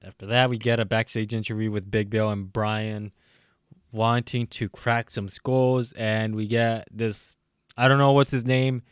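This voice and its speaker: Guy doing a casual show review, kinda rambling and unsure, clear voice a bit worn from late night talking, dry close sound. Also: fairly low pitch, flat delivery, unhurried, dry recording. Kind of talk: casual recap and opinion commentary.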